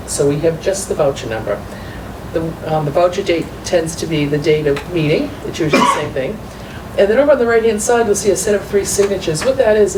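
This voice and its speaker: Low-voiced woman at a meeting, explaining paperwork patiently; distant, roomy and noisy recording. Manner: explanatory, walking through documents. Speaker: school business manager. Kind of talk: meeting report. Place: school committee meeting room. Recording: echoey far-off room mic with noise.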